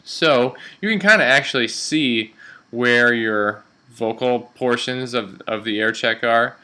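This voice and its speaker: A man working as a radio instructor, explaining software step by step in an informal, instructional way. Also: mid-range voice, slow.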